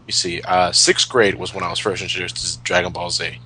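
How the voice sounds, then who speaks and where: A man hosting a casual group chat, reminiscing in a halting way and repeating words. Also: sits low, little pitch movement, pushed and bright, a little hiss or hum, low-quality recording. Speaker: host of a fan retrospective commentary.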